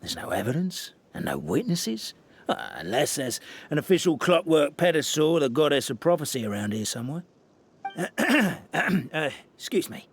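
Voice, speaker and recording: An actor playing a theatrical, dithering official in a fantasy game, hesitant, dramatic and wry. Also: male voice, fairly high pitch, very animated, normal speed, slight background noise. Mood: wry, grave. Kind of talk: video game voice acting.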